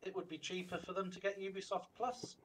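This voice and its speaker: Male co-host, opinionated and rambling, slightly raised pitch, light noise floor. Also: little pitch movement, fairly quick, fairly bright tone. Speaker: podcast co-host. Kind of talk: casual chat.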